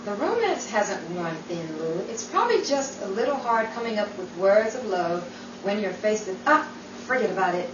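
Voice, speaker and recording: A woman's voice: mid-range voice, big pitch swings, moderate pace, recorded from a distance, dull audio, lightly acted. A woman acting a genial, kindly character in a 1940s-style radio play, recorded in a noisy, echoey room. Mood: earnest, gentle.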